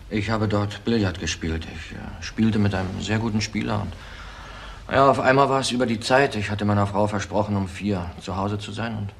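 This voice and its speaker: An actor playing an agitated, evasive husband in his thirties in a crime drama, speaking haltingly and repeating words. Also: on the low side, a little hiss or hum, natural voice. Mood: shaken. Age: 30s. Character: young widower husband, agitated, evasive under questioning. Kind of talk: German crime drama acting.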